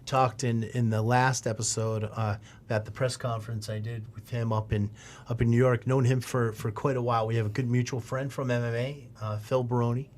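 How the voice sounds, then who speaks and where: Man, podcast host, relaxed questions, jokey, middle pitch. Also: fairly quick, slight background noise. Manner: theatrical intro, then relaxed questions. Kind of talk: casual podcast interview. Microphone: studio podcast mic.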